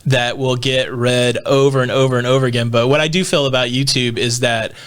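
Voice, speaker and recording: A man's voice: mid-range voice, fairly even intonation, a little fast, a bit bright, clear, resonant tone. A man hosting a podcast interview who asks long, rambling questions. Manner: thoughtful, rambling questions with fillers. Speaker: podcast host. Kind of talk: conversational interview.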